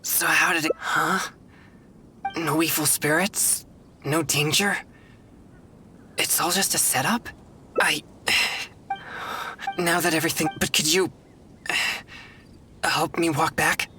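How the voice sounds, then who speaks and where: A woman playing a composed, earnest young spirit investigator in a video game, measured, stoic and reassuring. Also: sits low, fairly even intonation, sharp tone, slight background noise, exaggerated voice. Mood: weary, stoic.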